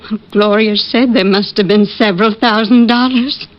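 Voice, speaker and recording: A woman playing a gentle, anxious middle-aged widow, politely hesitant, in 1940s radio drama style. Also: medium pitch, steady tone, moderate pace, natural voice. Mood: worried. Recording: muffled old-time radio recording.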